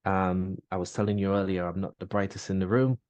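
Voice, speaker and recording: A man's voice: sits low, fairly even intonation, brisk, a little mellow, dry recording. A man, a veteran guest in an interview, speaking candidly and reflectively with many fillers and restarts. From Caribbean, grew up in Grenada. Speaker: podcast guest, military veteran. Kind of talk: casual interview chat.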